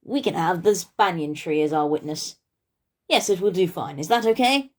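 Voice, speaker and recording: A woman voicing a shrewd, quick-thinking male village moneylender in a children's storybook. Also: lowish voice, expressive, a little mellow. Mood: coaxing.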